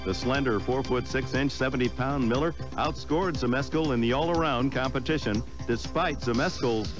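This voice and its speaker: A man's voice: mid-range voice, normal speed, music in the background. A man reading scripted narration for a sports newsreel.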